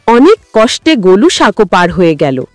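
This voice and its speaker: A woman's voice: medium pitch, sing-song, moderate pace, no room sound, clean studio sound, faint music behind. A woman narrating a scripted story for children as a storyteller.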